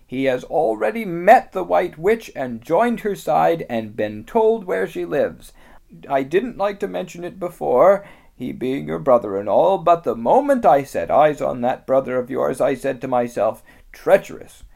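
A reader voicing a stern, wary male beaver who speaks with grave urgency, higher and louder than the narration. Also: expressive, unhurried, gravelly. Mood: urgent. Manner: urgent, emphatic storybook delivery.

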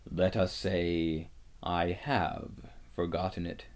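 A man voicing a grave, regal lion in a storybook, low and growling, measured, with quiet authority. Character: grave, regal male lion, calm and commanding. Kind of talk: dramatic storybook reading.

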